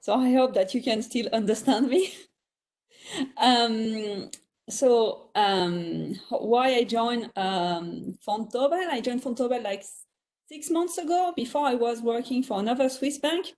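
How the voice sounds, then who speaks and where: A woman's voice: fairly high pitch, a little slow, a little mellow. A woman with a French accent, talking chattily as a guest on a virtual panel, often laughing between thoughts. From France. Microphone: video call.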